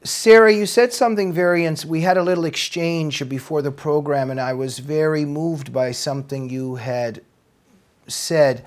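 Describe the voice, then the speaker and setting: A man hosting an interview, asking questions in a halting way and restarting often. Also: fairly high pitch, steady tone. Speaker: host. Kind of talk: interview host.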